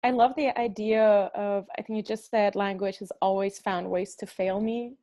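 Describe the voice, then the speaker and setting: A woman's voice: medium pitch, steady tone, normal speed, warm tone. Thoughtful woman host. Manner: thoughtful, attentive. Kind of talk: casual panel conversation. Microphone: video call.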